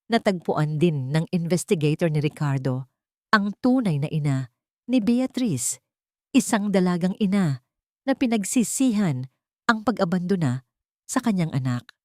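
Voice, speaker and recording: Female audiobook narrator, slightly low voice, dry recording, measured dramatic delivery of scripted fiction. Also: animated, darkish tone, dry recording.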